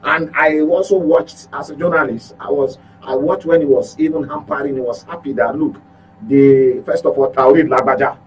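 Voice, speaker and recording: A man's voice: medium pitch, normal speed. A Nigerian-accented man giving political commentary on a talk show as a journalist, emphatic, often repeating and correcting his words. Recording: clearly noisy with electrical hum.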